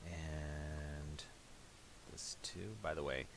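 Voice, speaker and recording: A man giving a casual, unscripted software tutorial, halting as he thinks aloud while he works. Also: a bass voice, steady tone. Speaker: tutorial host. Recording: hissy home recording with mouse clicks.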